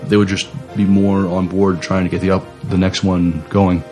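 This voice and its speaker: A man co-hosting a podcast, reading news informally in a halting way with repeated words. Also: sits low, flat delivery, darkish tone, soft background music. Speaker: podcast co-host and news reader.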